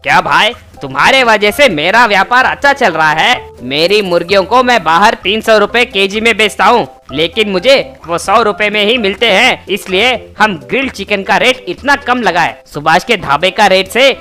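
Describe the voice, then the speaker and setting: A man plays a grudging roadside chicken seller in an animated story. The voice sits high and has a forceful, bright edge, with music underneath. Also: moderate pace. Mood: indignant. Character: brash, grudging male chicken trader running a roadside eatery. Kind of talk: Hindi animated moral story dubbing.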